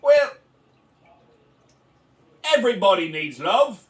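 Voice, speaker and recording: A man's voice: sits high, very animated, slow, reverberant, distant mic, exaggerated voice. A man doing a put-on British accent as a rowdy, crude bloke in an improvised comedy bit. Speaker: podcast co-host.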